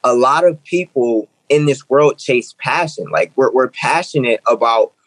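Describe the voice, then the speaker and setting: An earnest young man in his twenties talks through his ideas in an interview. He often restarts and repeats phrases as he builds toward a point. His voice is close and dry, with a middling pitch. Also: expressive, a little slow, a bit bright, dry recording, rough recording. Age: 20s. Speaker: guest, author and speaker. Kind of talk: interview conversation.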